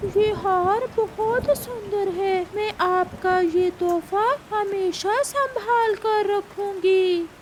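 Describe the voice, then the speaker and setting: Woman voicing a very high-pitched bird queen. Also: very slow, hardly any pauses, noisy background, full character voice. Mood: pleased. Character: imperious female bird queen, refined and demanding. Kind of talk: Hindi moral-story cartoon dubbing.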